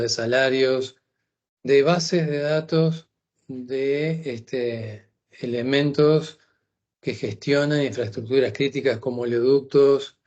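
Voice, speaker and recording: A man's voice: medium pitch, a little mellow. Man explaining with many fillers. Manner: explanatory, frequent "este" fillers. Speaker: cybersecurity lecturer. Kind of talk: masterclass lecture. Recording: dull lo-fi recording.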